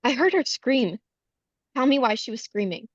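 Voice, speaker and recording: Woman acting an anxious, high-voiced beauty queen. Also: animated, normal speed, no echo, dull audio. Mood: worried, insistent. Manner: urgent, pressing. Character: anxious, insistent young beauty queen worried for friend. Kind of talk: screenplay table read.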